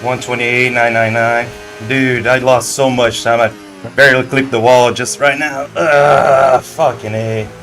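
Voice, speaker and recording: A man's voice: mid-range voice, little pitch movement, unhurried, a bit bright, slight background noise. A man in casual voice chat during a racing game, laid-back with short agreeing replies and cursing at his own mistakes. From Brazil. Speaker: sim racing player. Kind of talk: casual voice chat while gaming.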